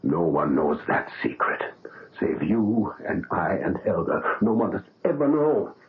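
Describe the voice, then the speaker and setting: A proud older surgeon, coaxing and theatrical, his low voice swinging widely in pitch. It comes through the narrow, phone-like band of an old radio broadcast. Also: sing-song, unhurried, a little hiss or hum. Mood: insistent. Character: proud, paternal German surgeon, older man. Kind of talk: 1970s radio drama acting.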